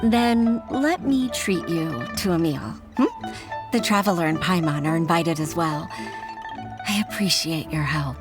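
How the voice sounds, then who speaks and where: A woman's voice: fairly low pitch, expressive, unhurried. A woman playing an earnest, humble female official in an anime game dub, speaking in a respectful, formal and slightly hesitant manner. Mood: grateful. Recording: music behind.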